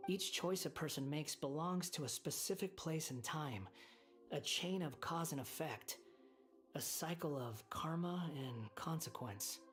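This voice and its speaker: An actor voicing a young male puppet without a heart, speaking in a quiet, weary and resigned way. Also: on the high side, a little slow, faint music behind. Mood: brooding. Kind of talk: game cutscene dialogue.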